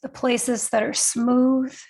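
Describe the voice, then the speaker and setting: An older woman leading a guided meditation, speaking gently and leaving long pauses between short phrases. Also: a little high, flat delivery, vocal fry. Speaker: guided meditation teacher, nurse and educator.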